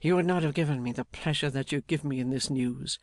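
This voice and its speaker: A man voicing an earnest, gentle, grateful younger gentleman in an audiobook. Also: medium pitch, fairly quick, no room sound, lightly acted. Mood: moved, grateful. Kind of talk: dramatised audiobook character.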